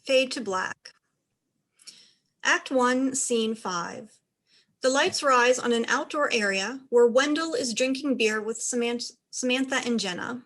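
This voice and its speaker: A woman's voice: fairly high pitch, unhurried, a bit bright. A woman reading stage directions as scripted narration for a play.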